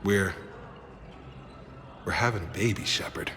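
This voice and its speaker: A man's voice: sits low, a little slow, pushed and bright. A man playing a loyal, easygoing ex-soldier in a video game, talking earnestly and familiarly with a comrade. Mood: earnest, hopeful. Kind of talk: conversational game dialogue. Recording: reverberant, music behind.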